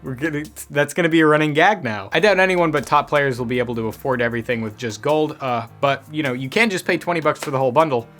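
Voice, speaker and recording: A man's voice: on the high side, quick pace, few pauses, a bit bright, faint music behind. A man hosting a gaming news show in a casual, conversational way, dropping wry asides as he goes.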